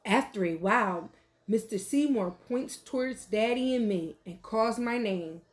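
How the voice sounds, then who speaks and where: A woman reading a picture book aloud like a teacher, with animated, deliberate delivery and questions for young listeners. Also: fairly high pitch. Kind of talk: expressive children's read-aloud with questions to listeners.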